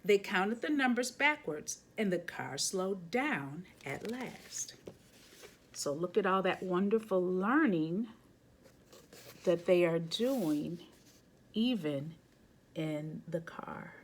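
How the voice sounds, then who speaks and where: A woman with a fairly low voice hosts a read-aloud for children. She moves between casual chat and expressive picture-book narration, adding her own chatty asides as she goes. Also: slow pace, slight background noise.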